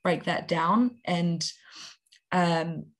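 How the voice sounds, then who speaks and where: A young woman, a youth climate activist answering interview questions in an articulate, steady way. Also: fairly low pitch, extremely slow, low-quality recording. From New Zealand. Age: young adult.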